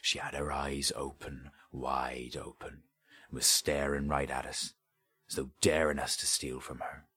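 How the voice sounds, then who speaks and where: A man's voice: extremely low pitch, level tone, measured pace, pushed and bright, slightly rough, exaggerated voice. A man voicing a haunted, greedy grave robber in an audiobook story, with a confiding, colloquial way of telling things. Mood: fearful, hushed.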